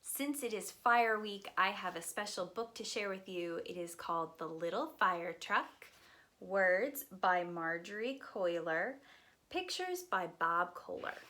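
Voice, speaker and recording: A woman's voice: medium pitch, expressive, a little slow, pushed and bright. A woman preschool teacher hosting a read-aloud story time, speaking in simple phrases to young children.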